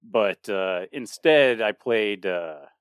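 A man's voice: lowish voice, lively intonation, takes it slowly, clear, resonant tone, dry recording, clean studio sound. A man in his late thirties co-hosting a podcast in a casual chat, rambling with frequent restarts and laughter. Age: 30s. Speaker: podcast co-host.

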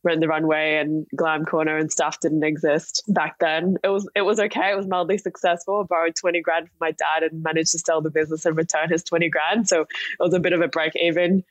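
A woman's voice: sits low, little pitch movement, fairly quick, no echo. A young woman from Singapore telling her story conversationally as an interview guest on a podcast. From Singapore, partly Australia. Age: young adult. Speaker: podcast guest, startup chief executive.

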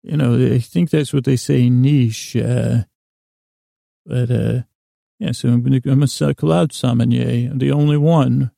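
A man hosting a podcast, talking in a meandering, halting, self-deprecating comic monologue meant for bedtime. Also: mid-range voice, steady tone, gravelly, warm tone, no echo, clean studio sound. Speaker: podcast host.

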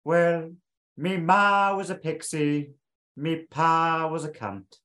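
Slow, high sing-song pixie thief. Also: male voice, pushed and bright. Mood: mischievous taunting. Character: mischievous sing-song pickle thief, half pixie, crude and gleeful.

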